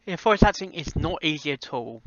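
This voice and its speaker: A man chatting casually and unscripted in a reaction vlog, halting and often repeating and rephrasing himself. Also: a little high, lively intonation, fairly bright tone, no echo, dull audio. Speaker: amateur voice actor reviewing a fan fiction audio reading.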